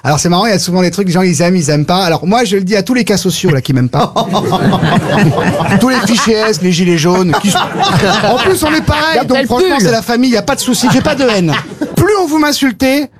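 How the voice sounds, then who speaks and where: A man in a comic panelist role, trading loud, mocking, mock-aggressive jabs on a TV talk show. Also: high-pitched, lively intonation, fast, a loud crowd. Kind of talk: provocative TV talk show banter. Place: TV studio with audience. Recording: loud studio audience behind.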